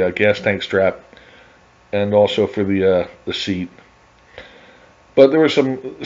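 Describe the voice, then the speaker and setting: A middle-aged man narrating his own restoration project over photos in a casual, halting way, with many fillers and restarts. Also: fairly low pitch, a little mellow. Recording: dull lo-fi voice-over.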